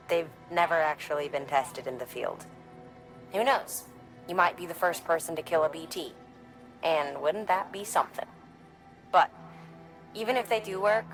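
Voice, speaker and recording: An actress playing an earnest scientist in a video game, explaining a mission in a conversational way. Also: female voice, on the low side, lightly acted, rough recording. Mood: wry, informative. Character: earnest scientist woman briefing a courier on experimental weapons.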